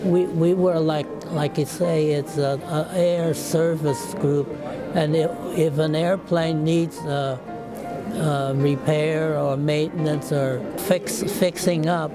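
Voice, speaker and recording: Slow, halting man in his nineties. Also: on the high side, steady tone, over music. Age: 90s. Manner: halting, many restarts, chuckles often. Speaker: war veteran. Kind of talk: casual reminiscing.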